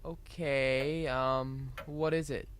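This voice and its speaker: A man's voice: mid-range voice, level tone, very slow, fairly bright tone, a little hiss or hum. A male actor playing a polite, curious homeschooled schoolboy in a family audio drama, earnest and casual. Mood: curious, surprised.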